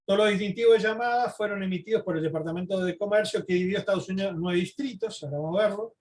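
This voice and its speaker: High-pitched man giving a halting lecture. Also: a little mellow. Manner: halting, repeats words, frequent questioning tags. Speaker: presenter giving a history talk. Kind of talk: informal lecture talking through slides.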